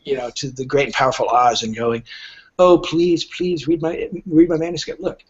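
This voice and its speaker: A man speaking casually as an interview guest, halting but emphatic, with wry asides. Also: mid-range voice, little pitch movement, slight background noise. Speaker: podcast guest, literary agent. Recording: dull lo-fi audio.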